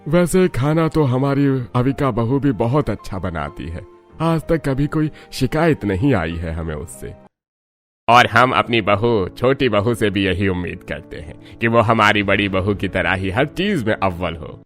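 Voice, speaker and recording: Cartoon father-in-law male actor, mild and approving, lower register, faint music underneath. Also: expressive, unhurried, fairly bright tone. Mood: approving, easygoing. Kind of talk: Hindi cartoon family-story dubbing.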